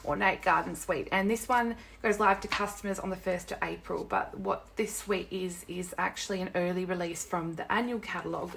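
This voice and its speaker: A young woman with a croaky, sore-throated voice, hosting a casual live craft tutorial from her desk at home. She rambles chattily, greets viewers by name and keeps apologising. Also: fairly low pitch, fairly bright tone, a little hiss or hum. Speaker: craft demonstrator and live-stream host.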